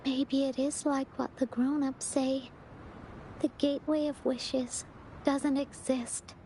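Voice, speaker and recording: A sweet little game-character girl, high-pitched and slow to speak. She sounds gentle, earnest and wistful, with faint ambient noise behind her. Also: flat delivery, low-quality recording. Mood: wistful, sad. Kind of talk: video game voice acting.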